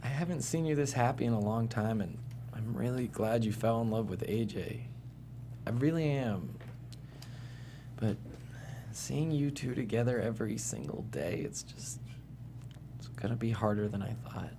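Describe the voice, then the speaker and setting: An actor reading a jealous, hurt husband in a script table read, sarcastic and blunt. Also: male voice, medium pitch, lively intonation, moderate pace, pauses often, noisy background, close to an everyday voice.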